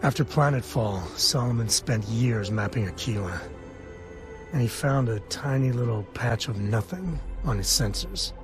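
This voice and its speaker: An actor playing a wry, world-weary space cowboy in a video game, laconic and folksy. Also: male voice, medium pitch, lively intonation, unhurried, music in the background, natural voice. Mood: reflective, wry.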